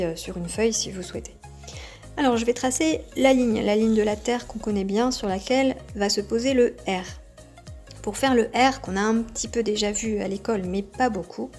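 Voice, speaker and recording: Slow gentle woman teacher. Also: mid-range voice. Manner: gentle, slow and clearly articulated. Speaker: kindergarten teacher. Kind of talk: teaching young children by video.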